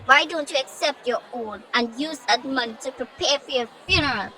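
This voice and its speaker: A woman's voice: high-pitched, a little slow, sharp tone, a faint crowd, low-quality recording. A woman voicing an emotional, dramatic village girl in a told story, defiant yet tender. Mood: indignant. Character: defiant grieving village girl, tender with her sick mother.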